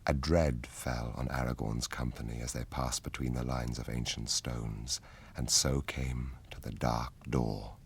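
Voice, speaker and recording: A man with a husky voice, narrating an epic fantasy radio drama in a solemn, measured way. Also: a bass voice, fairly even intonation, heavily acted.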